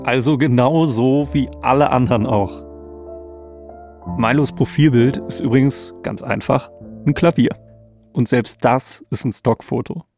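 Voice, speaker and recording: A man's voice: medium pitch, a little slow, a little mellow, soft background music. A man co-hosting an investigative podcast in a conversational narrating style. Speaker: podcast co-host.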